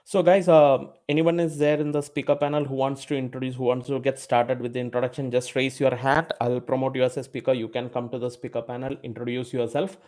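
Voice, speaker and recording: A man hosts an online developers meetup, inviting people onto the speaker panel. His voice sits at a middle pitch, and he keeps pausing on fillers as he goes. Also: little pitch movement, darkish tone. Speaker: meetup host.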